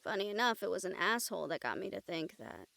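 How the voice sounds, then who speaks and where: A woman playing a wry, gentle recruiter of supernatural outcasts in an intimate audio roleplay, teasing and earnest in manner. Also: medium pitch, big pitch swings, quick pace, vocal fry, no room sound. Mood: wry, calm.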